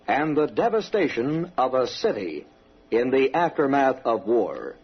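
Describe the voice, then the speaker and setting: A man's voice: medium pitch, very animated, a little slow, slightly rough. A man narrating a scripted radio documentary with dramatic, solemn phrasing. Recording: dull old radio recording. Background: music.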